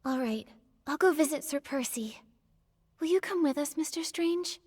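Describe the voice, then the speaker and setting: A gentle young game heroine with a high, soft voice. She sounds earnest and inquisitive. A long reverb hangs around her words. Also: lively intonation, normal speed. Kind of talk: scripted video game character dialogue.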